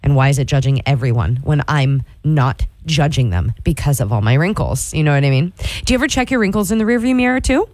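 A woman's voice: animated, fast talker, a little mellow, dry recording. Bubbly, chatty woman in her forties. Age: 40s. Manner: bubbly, confiding and self-mocking, with playful swoops up in pitch. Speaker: radio morning show host. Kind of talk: chatty host-read radio ad testimonial.

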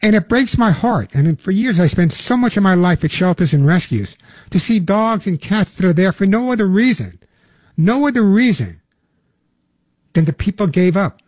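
A man's voice: high voice, expressive, normal speed, darkish tone, dry recording. An older man hosting a long-running pet radio show, talking in an emphatic, folksy monologue that repeats its points. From Long Island, New York.

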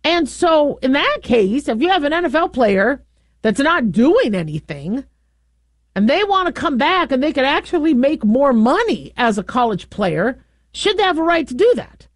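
Woman radio host thinking out loud, kinda high voice, dry studio sound, lots of you knows. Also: sing-song, moderate pace, dry recording. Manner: rambling, many restarts and fillers. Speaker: radio talk show host. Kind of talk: casual call-in radio talk.

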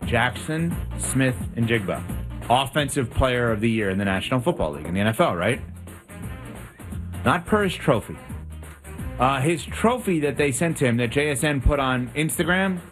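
A man's voice: mid-range voice, animated, normal speed, fairly bright tone, faint music behind. A man with a New York accent hosting a casual sports talk show, jokey and self-deprecating. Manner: jokey, self-deprecating, laughs at own slips.

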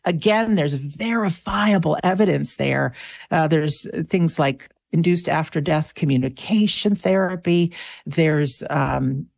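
A woman's voice: deep voice, unhurried, creaky, a little mellow, no echo. A North American woman of sixty talking as a guest in a conversational radio interview, earnest and enthusiastic. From small town near Niagara Falls. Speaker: interview guest, afterlife show host and author.

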